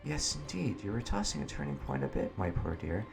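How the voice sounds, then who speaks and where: A man voicing an elegant, gentle young actress who speaks in a soft, polite, formal way to her beloved, with Japanese music playing behind. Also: on the low side, very animated, fairly quick, gravelly, voice pushed up, exaggerated voice. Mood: tender, concerned.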